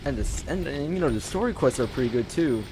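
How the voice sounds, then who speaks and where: A man doing casual gameplay commentary, rambling and halting, often repeating himself as he goes. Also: medium pitch, animated, background noise. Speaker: gamer playing and commenting. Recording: loud game music behind.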